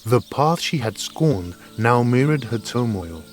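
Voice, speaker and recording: A man's voice: mid-range voice, expressive, measured pace, a little hiss or hum. A man narrating a scripted fairy tale in an earnest, dramatic storytelling manner.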